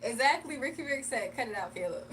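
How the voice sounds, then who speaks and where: A young woman with a fairly high voice chats loosely with her live viewers and laughs easily. She sits a little away from the mic, and faint room noise runs under her voice. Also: animated, fairly quick, fairly bright tone, far from the mic. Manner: chatty, laughs often, reads chat aloud. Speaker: livestream tarot reader. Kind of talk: casual live chat and tarot reading.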